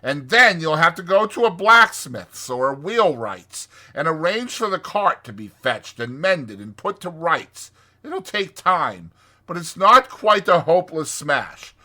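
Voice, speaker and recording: Man acting a storybook water rat, high forceful voice, bossy, exasperated, brisk and practical, sharp emphatic delivery. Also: wildly swooping pitch, slightly rough, exaggerated voice. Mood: sharp, commanding.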